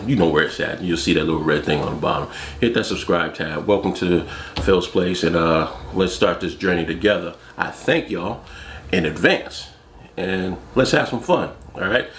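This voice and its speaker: A man in his forties with a very low, deep voice chats casually to the camera from a room at home. He keeps it relaxed and throws in jokey asides. The room sounds echoey, with clear noise in the background. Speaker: vlogger. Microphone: external mic on top of the camera.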